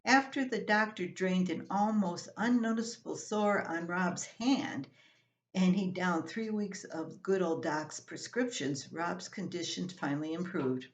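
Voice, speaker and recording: An older woman reading a scripted, humorous family column aloud as its writer, in an expressive storytelling manner. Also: fairly low pitch, a little slow, muffled recording. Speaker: columnist reading own writing.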